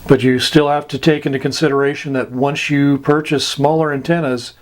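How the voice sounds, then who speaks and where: A man giving an informal explanatory lesson as an instructor, deliberate and halting, with long pauses. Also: medium pitch, little pitch movement.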